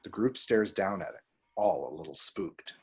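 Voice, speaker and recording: A man reading screen directions aloud as expressive scripted narration. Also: deep voice, normal speed, gravelly, slightly rough. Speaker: reader of action descriptions.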